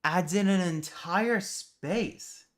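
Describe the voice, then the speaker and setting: A man's voice: high-pitched, very slow, a bit bright. A man hosting a casual livestream, muttering and thinking aloud as he works, and easily exasperated. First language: British Sign Language. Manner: easily exasperated, swears under breath. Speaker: livestream host.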